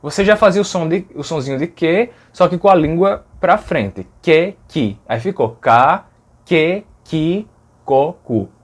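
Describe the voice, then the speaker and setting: A man's voice: on the high side, animated, unhurried. A man teaching a lesson in a conversational way, often tagging "né?" and sounding out speech examples. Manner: conversational, fillers like "né?", demonstrates sounds aloud. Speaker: language educator. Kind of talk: explanatory video lesson.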